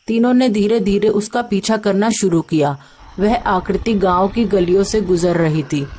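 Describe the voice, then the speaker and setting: A woman's voice: mid-range voice, warm tone. A woman reading a scripted story as its narrator.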